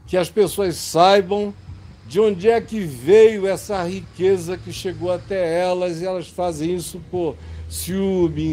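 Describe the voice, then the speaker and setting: A man with a high voice delivers an impassioned sermon. He speaks slowly and emphatically, letting each phrase land. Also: mellow. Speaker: preacher.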